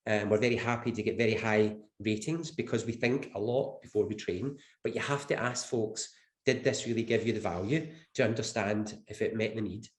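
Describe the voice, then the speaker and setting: A man's voice: fairly low pitch, moderate pace, echoey room. A Scottish man with the flu speaking as a webinar panelist, earnest and emphatic, repeating his key phrases. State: has the flu. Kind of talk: webinar presentation.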